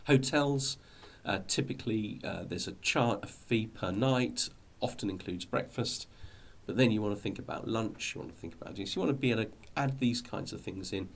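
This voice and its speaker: Low-pitched British man, informal and hesitant. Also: moderate pace, creaky. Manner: hesitant, rambling, with repeated words. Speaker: hobby video host. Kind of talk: informal explanatory talk to viewers.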